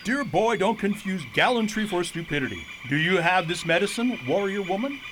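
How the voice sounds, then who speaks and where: A man's voice: high-pitched, lively intonation, normal speed, background noise. An actor playing a rotund, lazy, pompous king with florid, blustering phrasing in a radio play. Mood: fussy. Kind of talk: theatrical radio drama acting.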